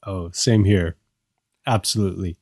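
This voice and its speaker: A man from Southern California co-hosting a casual review, explaining in a measured, agreeable way with small pauses. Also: sits low, fairly even intonation, a little mellow, no room sound, clean studio sound. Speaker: co-host of a review show. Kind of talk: casual discussion with explanatory commentary.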